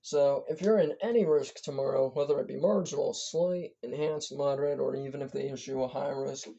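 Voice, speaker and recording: A hoarse speaker whose voice is giving out, giving a casual, rambling weather briefing as an amateur forecaster, stumbling and correcting themselves often. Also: mid-range voice, steady tone, fairly quick, dark tone. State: hoarse, losing voice. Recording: dull lo-fi recording.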